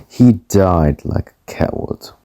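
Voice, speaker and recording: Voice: low voice, animated, warm tone, exaggerated voice. An amateur actor playing a smug, murderous rich man in a radio play, talking in a taunting, gloating way. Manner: slow, gloating, taunting, chuckling between phrases.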